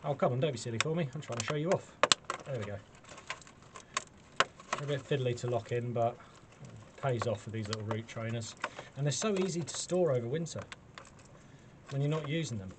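A man's voice: medium pitch, fairly quick, pauses often. A man in his forties with a British accent giving a casual, chatty, self-deprecating gardening demonstration to camera. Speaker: allotment gardening vlogger. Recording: clearly noisy camera sound with clicks.

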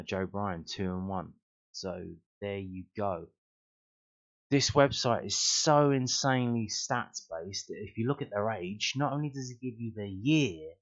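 A man with a low voice walks through football numbers as if thinking aloud. He rambles with fillers and throws in wry remarks. The home recording sounds muffled and lo-fi. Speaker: fan channel host. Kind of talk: casual screen-share commentary.

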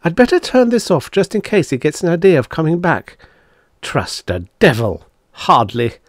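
A man voicing an anxious, stubborn, sharp-tongued woman in an audiobook, with an emotional, doubtful delivery. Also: on the high side, wildly swooping pitch, moderate pace, dry recording, clean studio sound, voice pushed up. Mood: shaken, doubtful. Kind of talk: audiobook character dialogue.

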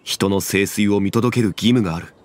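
An actor playing a calm, dignified immortal former god in a fantasy game, speaking in a composed and formal way. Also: male voice, on the low side, normal speed, slight background noise, natural voice. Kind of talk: Japanese game voice acting, dramatic dialogue.

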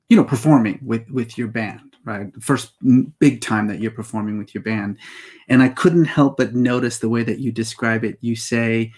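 A man's voice: mid-range voice, darkish tone. Man asking probing questions on a video call. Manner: probing, thoughtful questions, builds up to them. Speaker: talk show host, interviewer. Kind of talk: interview over video call. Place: home study with bookshelves. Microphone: earbud headset mic on a video call.

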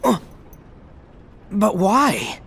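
Hesitant apprentice, lively pitch. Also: male voice, extremely slow, creaky, a little hiss or hum, exaggerated voice. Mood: uncertain. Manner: hesitant, questioning. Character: earnest, uncertain young male apprentice. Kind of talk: animated game cutscene dialogue.

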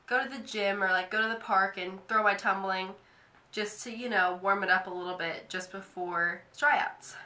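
A woman's voice: mid-range voice, pushed and bright, echoey room. A young woman vlogging to camera from her bedroom, talking casually with many "like" fillers, a little nasal from a cold. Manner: chatty, lots of "like" fillers. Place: bedroom, indoors.

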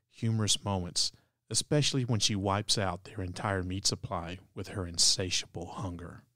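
Male podcast host, lowish voice, scripted presenting, very little room sound. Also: a bit bright, no echo, clean studio sound. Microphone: close microphone, dry recording.

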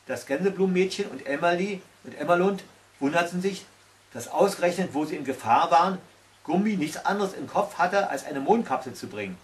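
A man's voice: a little high, lively intonation, a little fast. A man in his sixties reading a fairy tale aloud at home, as a storyteller. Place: small tiled-stove corner indoors at home. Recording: recorded from a distance.

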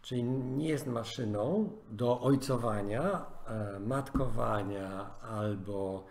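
A man's voice: medium pitch, slow pace, darkish tone, a little hiss or hum. Earnest male coach giving advice. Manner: earnest, advice-giving, with many fillers and restarts. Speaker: life coach. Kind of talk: podcast conversation.

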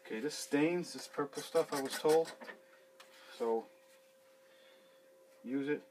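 A man's voice: on the high side, slow, darkish tone, slight background noise. A man in his thirties working on a sink in a small basement room and chatting casually to a camera a few feet away, rambling and swearing freely. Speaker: handyman fixing a sink. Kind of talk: casual how-to video with running commentary. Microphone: fixed camera a few feet away.

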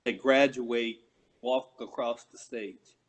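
A man at a school board meeting on a video call, asking questions in a halting way. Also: mid-range voice, steady tone. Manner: slow, halting, tentative.

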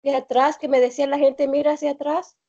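A young woman with a Mexican accent calling in on a video call from home, telling her story haltingly with many fillers and restarts. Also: high voice, flat delivery, mellow. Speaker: caller. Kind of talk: hesitant personal testimony on a video call. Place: home room indoors. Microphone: laptop or phone on a video call. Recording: dull lo-fi video call audio.

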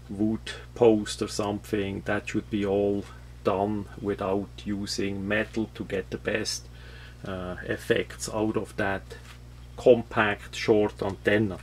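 A non-native English-speaking man from Switzerland with a Swiss accent, explaining a product off the cuff in a halting, matter-of-fact, rambling way. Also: fairly low pitch, a little mellow. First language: not English. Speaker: product reviewer. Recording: clear background noise with hum.

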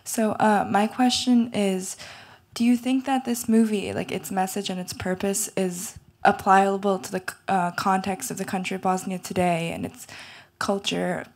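A woman's voice: mid-range voice, level tone, moderate pace. A young woman from the United States in a cinema audience, asking a question into a handheld mic in a casual way with "like" and "um". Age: young adult. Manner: casual, uses "like" and "um". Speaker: audience member. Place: cinema auditorium.

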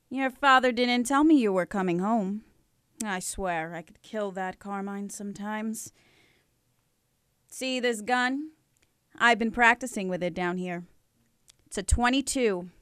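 A woman's voice: medium pitch, slow, long pauses, a bit bright, smooth, no echo, studio quality. A woman playing a grieving, vengeful crime-family matriarch in a noir radio drama, full of menace. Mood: bitter, vengeful.

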